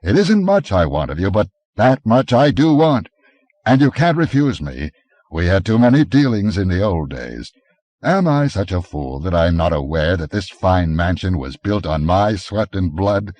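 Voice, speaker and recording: A man reading an audiobook, voicing a brawny barbarian adventurer who speaks in a gruff, commanding way, a little higher and louder than his narration. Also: very animated, moderate pace, dry recording. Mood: scornful.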